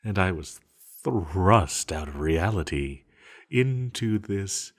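A man playing an ancient banished sorcerer villain in an intimate audio roleplay, musing calmly and haltingly with quiet menace. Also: low voice, exaggerated voice. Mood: soft, reflective. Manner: slow, halting, musing with sighs and hums.

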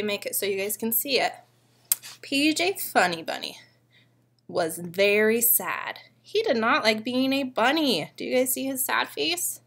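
A young woman reading a picture book aloud to small children like a preschool teacher, expressively and often pausing to ask them questions. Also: fairly high pitch, a bit bright. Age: young adult. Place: living room at home.

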